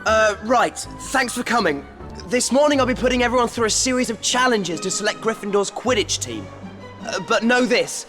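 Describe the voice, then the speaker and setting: Earnest schoolboy wizard in a game, pretty high voice, plain-spoken, bit of music under it. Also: male voice, expressive, moderate pace, a bit bright. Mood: earnest, businesslike. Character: earnest teenage boy wizard, team captain. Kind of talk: scripted cinematic game acting.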